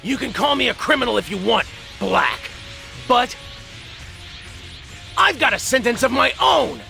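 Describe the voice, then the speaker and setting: An actor playing an earnest, plucky young male hero in an anime video game, forceful and heroic. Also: very high voice, lively intonation, a little slow, creaky, faint music behind, exaggerated voice. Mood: defiant.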